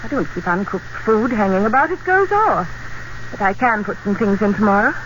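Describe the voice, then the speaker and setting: A woman's voice: medium pitch, a little fast. An actress playing a worried, homely country wife and mother in an old radio drama, fretful in manner. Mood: fussy. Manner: animated, fretful. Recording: noisy, dull old radio recording.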